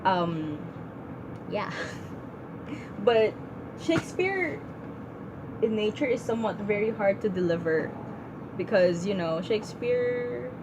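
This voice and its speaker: A woman's voice: mid-range voice, lively intonation, takes it slowly, pauses often. A young woman vlogging in a casual, heartfelt way, with plenty of ums and likes. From Philippines. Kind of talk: casual reflective vlog. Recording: noisy room with air conditioning hum.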